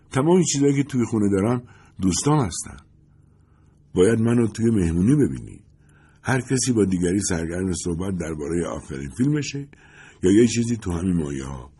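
A man's voice: fairly low pitch, mellow, slightly rough, full character voice. A man voicing a talkative, glamorous woman who gushes and wants to be liked. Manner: gushing, eager to please.

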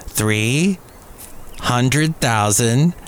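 A man's voice: mid-range voice, animated, drawn-out words. An American man hosting a podcast in a casual, rambling monologue, with playful asides and self-deprecating remarks. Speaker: podcast host. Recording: noticeable background noise.

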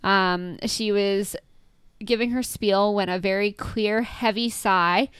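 A woman's voice: medium pitch, steady tone, takes it slowly, a bit bright, smooth, dry recording, clean studio sound. A woman co-hosting a casual podcast, recounting ghost stories from her notes with frequent ums and small laughs. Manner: tells stories from notes with many ums and giggles. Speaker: podcast co-host. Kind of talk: casual chat, reading up on a haunting.

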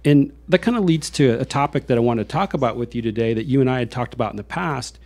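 An American man hosts a conversational interview in a medium-pitched voice. He talks earnestly and wanders through his thoughts, circling back to repeat phrases as he reflects. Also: fast, a little mellow. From Iowa, United States. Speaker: show host.

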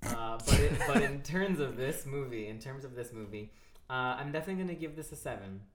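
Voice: mid-range voice, expressive, fairly quick. A podcast co-host chatting casually and rambling through a film review in a giggly, informal way. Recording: echoey room with faint background noise.

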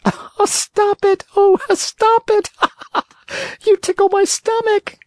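A man voicing a small childlike voice coming from inside a piece of firewood in a storybook, squeaky and pleading. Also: slow, a little mellow, dry recording. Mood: giggling. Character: tiny childlike voice inside a log.

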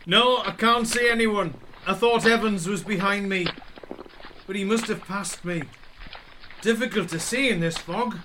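Radio play: male actor as young private, high sharp voice, reporting in. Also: measured pace, low-quality recording. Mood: uncertain. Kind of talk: radio drama acting. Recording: faint noise and clicks.

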